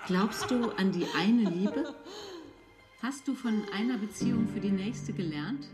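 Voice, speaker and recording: Female questioner, unhurried and reflective, a little off-mic, music bed. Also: mid-range voice, lively intonation, distant mic.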